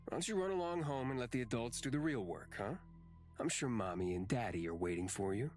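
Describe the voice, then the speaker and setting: A man voicing a haughty, gruff and impatient investigator in a visual novel game, curt and scornful. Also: mid-range voice, animated, fairly quick, a little mellow, faint music behind, close to an everyday voice.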